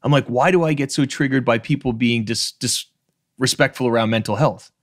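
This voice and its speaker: A man's voice: medium pitch, moderate pace, dry recording, clean studio sound. A man in his thirties from Canada's Maritimes hosting a podcast interview over a video call, talking frankly and emphatically and swearing freely. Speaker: podcast host. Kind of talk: casual interview chat. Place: home room with bookshelves, video call. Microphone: studio microphone on stand.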